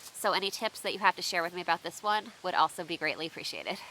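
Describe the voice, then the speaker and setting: A woman with a bright, forward voice chats to her viewers outdoors, a little stuffy and nasal from allergies. She talks easily and keeps asking viewers for their tips. A faint hiss sits under the recording. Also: fairly low pitch, expressive, quick pace, rough recording. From United States. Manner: chatty, asks viewers for tips. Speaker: homestead vlogger. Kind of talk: casual unboxing chat to viewers. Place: outdoors under shifting trees.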